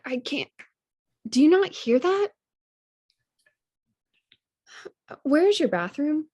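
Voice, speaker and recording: A fairly high young female voice, a woman acting as a shy fiancée visiting as a guest. She speaks with halting politeness and nervousness in a table-read drama. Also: sing-song, dark tone. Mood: distressed.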